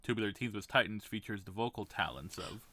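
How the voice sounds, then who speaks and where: Guy reading credits, low but forceful and lively, very dry sound. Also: brisk, dry recording. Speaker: credits announcer. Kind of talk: scripted podcast credits read.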